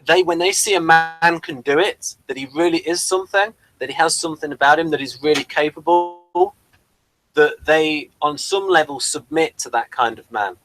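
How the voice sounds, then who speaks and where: A man's voice: a little high, moderate pace, fairly bright tone, soft background music, rough recording. A man hosting a casual review discussion, speaking hesitantly and probing with a contrarian bent. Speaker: podcast host reviewing TV episodes.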